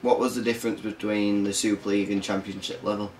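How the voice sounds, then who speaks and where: A man's voice: on the low side, little pitch movement, quick pace, slight background noise. A man putting short interview questions to a rugby player.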